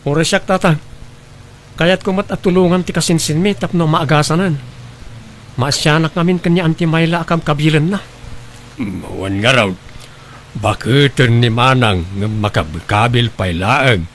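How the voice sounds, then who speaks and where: A man's voice: a little high, moderate pace, a bit bright, a little hiss or hum. A man acting a concerned, helpful family relative in a radio drama, speaking earnestly and sympathetically. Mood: sympathetic.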